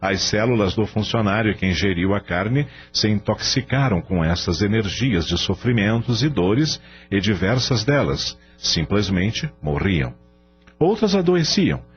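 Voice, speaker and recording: A man's voice: on the low side, sing-song, measured pace, muffled recording. A Brazilian man narrating a radio novel in a dramatic scripted style. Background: music.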